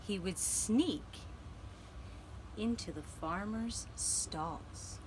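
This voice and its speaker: A woman telling a gentle children's story for a puppet show, with deliberate, dramatic phrasing and pauses. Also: medium pitch, background noise.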